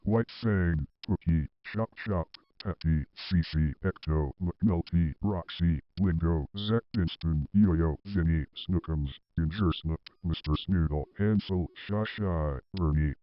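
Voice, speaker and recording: A man's voice: sits low, dry recording, muffled recording. A man reading a scripted emergency alert announcement in a formal manner.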